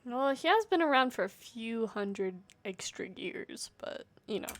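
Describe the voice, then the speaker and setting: A woman's voice: fairly high pitch, lively intonation, measured pace, noisy background. A young adult woman streamer giving casual live reactions, talking back to game characters in a slangy, joking way.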